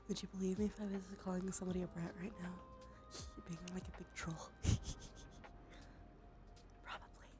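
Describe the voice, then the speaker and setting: A woman hosts a cozy ASMR stream in a soft, breathy whisper. She sounds slightly stuffy in the nose and chats casually at an unhurried, playful ease. Music plays clearly behind her voice. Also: lowish voice, level tone. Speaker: livestream host. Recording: background music under close whispering.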